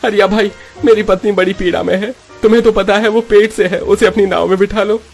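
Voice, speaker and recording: An urgent young villager and protective husband, acted by a man. His voice is pushed high and pleading in storybook melodrama, over music. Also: fairly even intonation, brisk, vocal fry. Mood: desperate, pleading. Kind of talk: melodramatic Hindi audio story acting.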